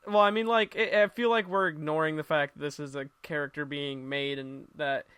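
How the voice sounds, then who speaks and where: Man, smooth voice, a bit high, casual podcast chat, hedging, dry mic. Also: steady tone, a little fast, fairly bright tone, dry recording. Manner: hedging, lots of "like" and "I mean". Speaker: podcast co-host.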